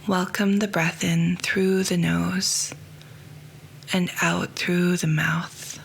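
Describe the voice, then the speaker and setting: A woman guiding a yoga nidra meditation, speaking softly and slowly, often close to a whisper. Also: fairly low pitch, level tone, gravelly, a little hiss or hum, poor audio. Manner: soft, slow and hushed, often near a whisper. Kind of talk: guided meditation.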